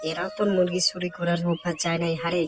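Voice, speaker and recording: A woman's voice: fairly low pitch, completely flat delivery, fast talker, over music, close to an everyday voice. A woman playing a nagging, exasperated village woman in a cartoon, with a northern Bangladeshi accent. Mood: scolding. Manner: nagging, complaining. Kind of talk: Bengali village cartoon voice acting.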